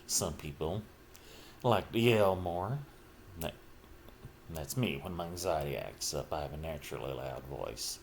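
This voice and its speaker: A middle-aged man talking casually and reflectively about himself, in halting, broken phrases with trailing pauses. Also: extremely low pitch, animated, gravelly, a little hiss or hum. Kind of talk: casual personal monologue, rambling reflection.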